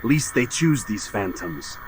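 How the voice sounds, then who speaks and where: An actor playing a defiant, principled assassin in a video game, a betrayed student who speaks tersely and earnestly. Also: male voice, fairly low pitch, animated, takes it slowly. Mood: questioning. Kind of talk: dramatic video game cutscene acting. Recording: noisy game cutscene audio.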